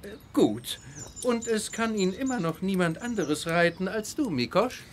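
A genteel count, very animated. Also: male voice, high voice, a little slow, slight background noise. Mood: genial, self-assured. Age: middle-aged. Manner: genteel, self-assured. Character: jovial, confident aristocratic count, father of a teenager. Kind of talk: children's audio drama acting.

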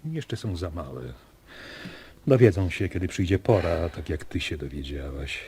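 An actor playing a grave, protective father in a radio drama, earnest and deliberate, with a husky voice. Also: low-pitched, lively intonation, mellow, heavily acted. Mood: grave, warning. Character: protective, grave father keeping a family secret.

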